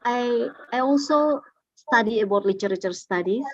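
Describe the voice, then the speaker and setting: A woman speaks over a video call, answering workshop questions. She speaks haltingly, with frequent fillers and restarts. The audio is dull and lo-fi, with a dry sound. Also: a little high, lively intonation, a little mellow, dry recording. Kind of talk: unscripted answers at an online workshop.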